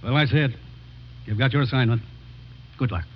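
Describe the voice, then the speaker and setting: An actor playing a brisk, earnest government commissioner briefing his agent in a 1950s radio drama. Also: mid-range voice, a little hiss or hum, lightly acted. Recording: muffled vintage radio recording.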